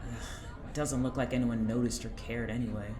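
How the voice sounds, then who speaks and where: An actor playing a blunt prisoner and reluctant accomplice in an audio drama, sarcastic and exasperated. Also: male voice, mid-range voice, little pitch movement, fairly quick, echoey room, a crowd in the background, lightly acted.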